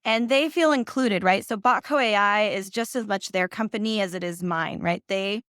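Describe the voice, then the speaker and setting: A woman in her forties speaks in a smooth, resonant voice, dry and close to the mic. She talks casually as an interview guest. Her stories are animated, and she acts out the things other people said. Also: mid-range voice, moderate pace, no room sound. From Central America. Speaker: guest, company founder.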